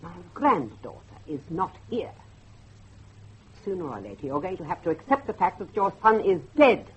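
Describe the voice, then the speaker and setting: A woman's voice: on the low side, big pitch swings, normal speed. An actress playing a stern, guarded old grandmother with formal, severe speech in a gothic horror drama. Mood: guarded, firm. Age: older. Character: stern, evasive old grandmother, mistress of a gloomy house. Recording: dull band-limited audio, faint background noise.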